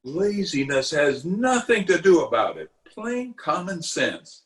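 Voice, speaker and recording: A man's voice: a little high, very animated, takes it slowly. A man playing a pompous, self-justifying middle-aged uncle in an old-time radio comedy, with a blustery, chuckling manner. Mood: defensive. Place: recorded remotely over a video call.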